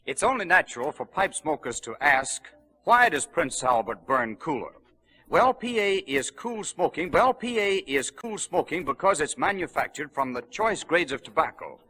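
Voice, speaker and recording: A man's voice: sing-song, normal speed, pushed and bright, breathy edge. A man reading a 1930s radio advertisement as an emphatic commercial announcer on an old broadcast. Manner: emphatic sales pitch.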